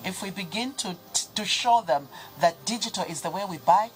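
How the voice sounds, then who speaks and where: A woman's voice: mid-range voice, moderate pace. A woman with a Zambian accent speaking as a guest on a studio panel, emphatic and persuasive, addressing listeners directly. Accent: Zambian English.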